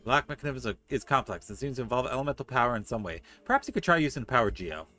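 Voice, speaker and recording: A man's voice: medium pitch, sing-song, racing. A man streaming a game, reading its text aloud and dropping in casual, joking commentary. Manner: joking asides between read passages. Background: soft game music.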